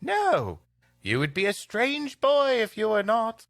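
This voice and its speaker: A man's voice: high-pitched, huge pitch swings, slow pace, gravelly, a bit bright, no room sound, studio quality, voice pushed up, full character voice. A man voicing a kindly schoolmistress in a comic storybook reading, earnest and soothing. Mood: kindly urging. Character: kindly, consoling schoolmistress, gently firm.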